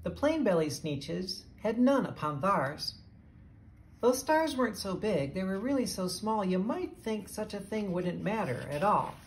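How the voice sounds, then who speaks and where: A woman with a medium-pitched voice reads a children's story aloud. Her delivery is expressive and follows the rhyme. There is faint ambient noise behind her. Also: a little slow, poor audio. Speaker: storybook reader.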